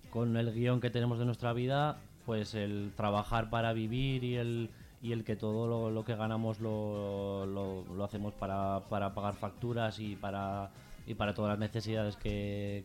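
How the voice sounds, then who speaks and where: A man's voice: on the low side, a little mellow. A man with a Peninsular Spanish accent speaking informally as an activist guest in a radio interview, hesitating, rambling and repeating himself.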